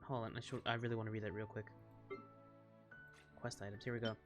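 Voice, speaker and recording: A man giving casual, slangy and excitable gaming commentary as he plays and reacts. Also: on the low side, fast. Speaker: game streamer playing and reacting. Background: video game music.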